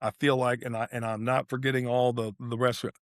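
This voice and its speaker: A man's voice: mid-range voice, level tone, fast, no echo, clean studio sound. A man co-hosting a sports podcast, breaking down play in casual talk and repeating phrases for emphasis. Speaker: podcast co-host analysing tennis.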